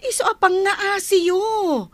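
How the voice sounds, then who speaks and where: A woman's voice: extremely high pitch, takes it slowly, no room sound. An actress playing a stern wife in a radio comedy drama, firmly admonishing her boastful husband. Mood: reproving. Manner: firm, admonishing.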